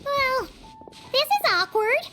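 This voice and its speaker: A woman voicing a small, bubbly, easily indignant fairy companion in a video game, with an excitable childlike manner. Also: very high voice, slow pace, loud music behind the voice, full character voice. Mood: chatty, teasing. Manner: excitable, speaks of self in third person.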